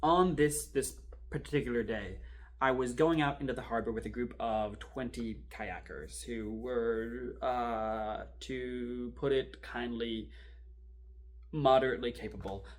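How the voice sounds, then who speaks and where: A man playing a wry, rattled archival assistant in a horror drama, rambling and sardonic as he records a halting confessional monologue. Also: medium pitch, natural voice. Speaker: performer in a fan audio-video drama. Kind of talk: found-footage confessional monologue, conversational storytelling.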